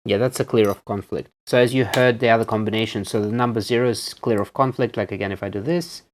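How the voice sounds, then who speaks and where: A man presenting a casual hands-on electronics demonstration, explaining things in a halting way. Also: fairly low pitch, noisy background. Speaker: presenter reviewing electronics on video.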